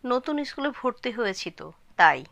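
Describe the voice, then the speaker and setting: A woman's voice: a little high, sing-song, normal speed, creaky, a bit bright, dry recording, pitched above the performer's own voice, full character voice. A woman voicing an earnest, shy ten-year-old village boy in a story, reciting simply and literally.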